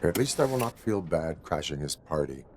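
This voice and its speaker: An actor playing a stern cyborg police officer in a video game, speaking stiffly and formally. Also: male voice, very deep voice, big pitch swings, moderate pace, darkish tone, a little hiss or hum. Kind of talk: video game cutscene acting.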